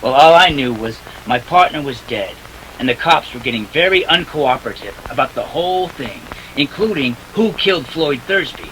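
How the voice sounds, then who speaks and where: A hard-boiled male detective played by an actor in a 1940s radio drama. His delivery is clipped and wisecracking, swinging widely in a sharp, bright voice. Faint noise sits behind the recording. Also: mid-range voice, animated, normal speed, natural voice, rough recording. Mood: wry narrating. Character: tough, wisecracking male private detective, impatient and cynical.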